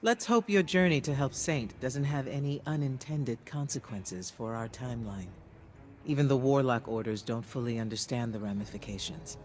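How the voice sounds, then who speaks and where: A man's voice: medium pitch, moderate pace, slight background noise, close to an everyday voice. A man playing a candid, loyal, thoughtful ally in video game dialogue, conversational and sincere. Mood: cautious gratitude.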